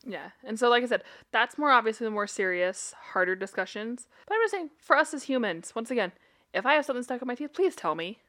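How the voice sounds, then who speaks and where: A woman's voice: fairly high pitch, animated, fast, fairly bright tone. A woman who is a licensed therapist and a podcast co-host, talking in a blunt, casual way with swearing and frequent laughter. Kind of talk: casual chat.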